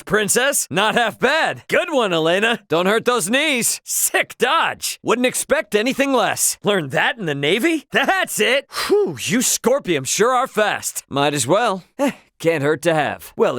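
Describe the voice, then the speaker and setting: An actor with an American accent playing a brash, easygoing spacefaring hero in a video game, with a cocky, slangy, joking delivery. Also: male voice, high voice, fairly bright tone, no echo, clean studio sound, heavily acted. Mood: teasing, upbeat, then cocky, playful. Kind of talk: video game voice lines, battle barks and chatter.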